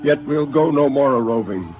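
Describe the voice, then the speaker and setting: An actor playing a brooding male astronaut who turns killer, speaking quietly and intensely in a 1950s radio drama; a tinny old broadcast with faint hiss. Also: medium pitch, animated, normal speed, lightly acted. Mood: wistful.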